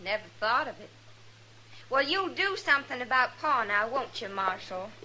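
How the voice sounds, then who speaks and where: A woman's voice: on the high side, expressive, moderate pace, pushed and bright, a little hiss or hum, poor audio. A woman acting a simple, naive country girl in a 1950s radio western, with a rural American accent and an artless, literal way of talking. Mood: earnest.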